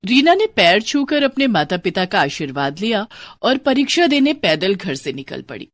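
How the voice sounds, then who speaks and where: A woman narrating scripted moral stories in an expressive storytelling style. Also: medium pitch, moderate pace, no room sound, studio quality.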